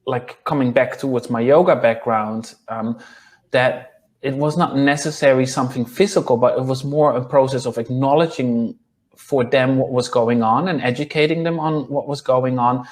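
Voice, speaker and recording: A man in his thirties with a Dutch accent, talking in a careful, halting way, full of pauses and restarts. He speaks at an ordinary, mid-range pitch. He is recorded over a video call with a lapel mic. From Netherlands. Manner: hesitant, many ums and restarts. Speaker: guest nutritionist. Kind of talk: casual video-call interview. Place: small room indoors.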